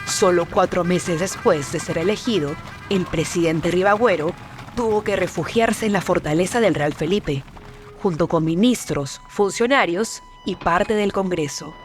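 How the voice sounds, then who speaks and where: History documentary narrator, scripted read, soft music bed. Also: mid-range voice, unhurried.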